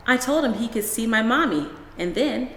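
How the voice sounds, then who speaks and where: A woman's voice: on the high side, expressive, normal speed, a bit bright, voice pushed up. Woman playing an eager little boy, echoey storybook. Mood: excited. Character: brave, eager little boy, proud frog catcher. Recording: roomy echo with long reverb.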